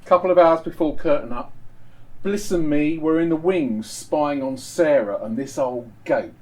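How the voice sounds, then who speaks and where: A man's voice: a little high, measured pace, pitched above the performer's own voice, natural voice, poor audio. A man voicing a cheeky, gossipy young Victorian woman dancer, telling her tale in a colloquial, sardonic, theatrical way as a dramatic monologue. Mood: gossipy, brisk. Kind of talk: first-person dramatic monologue reading.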